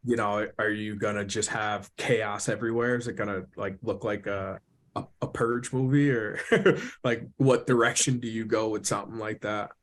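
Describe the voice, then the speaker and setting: A man's voice: fairly low pitch, normal speed. A man co-hosting a podcast, chatting casually among friends. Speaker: podcast co-host.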